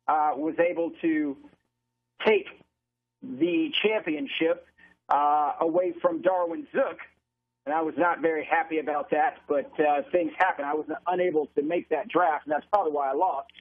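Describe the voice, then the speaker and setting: A phone-thin radio feed carries an American man calling football live. His voice sits high for a man and has a rough, husky edge. He works as a play-by-play announcer, describing the action as it unfolds. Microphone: live radio broadcast feed from stadium. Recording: narrow tinny phone-line radio broadcast sound.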